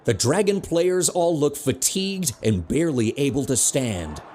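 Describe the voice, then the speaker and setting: A man delivers scripted dramatic narration. His voice is pitched a bit high for a man. Clearly audible ambient noise runs behind him. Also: expressive, normal speed, warm tone.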